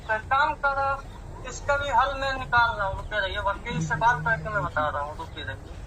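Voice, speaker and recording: A man's voice: high voice, normal speed, crowd noise, poor audio. A man in a recorded phone conversation, insistently and repetitively reassuring someone.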